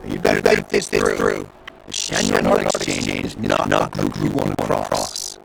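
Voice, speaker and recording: Gravelly, menacing enforcer. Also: male voice, lowish voice, huge pitch swings, measured pace, background noise, heavily acted. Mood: threatening. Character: menacing male enforcer issuing warnings.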